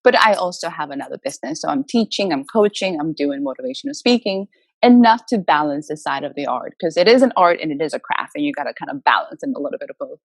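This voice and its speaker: A woman's voice: deep voice, expressive, fast, vocal fry, no room sound. A woman from the Dominican Republic, a native Spanish speaker, giving emphatic, motivational answers in a conversational interview. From Dominican Republic, moved to Massachusetts as a child. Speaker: guest, author and motivational speaker.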